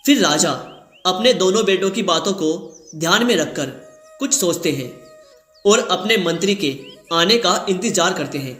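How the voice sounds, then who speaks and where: A man's voice: sits high, expressive, moderate pace, a bit bright, roomy sound, faint music behind. A man narrating a scripted story as a storyteller.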